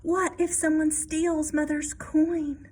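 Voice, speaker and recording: A woman's voice: high-pitched, flat delivery, slow, a little mellow, voice pushed up. A woman voicing anxious, imaginative little kittens in a children's picture book, in a worried, childlike manner.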